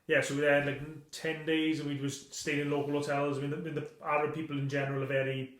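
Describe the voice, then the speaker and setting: A man from Swansea with a Welsh accent, a documentary maker telling stories as a podcast guest in a quick, lively way. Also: a little high. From Wales. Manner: rapid, lively storytelling with restarts. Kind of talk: casual chat. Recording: echoey room.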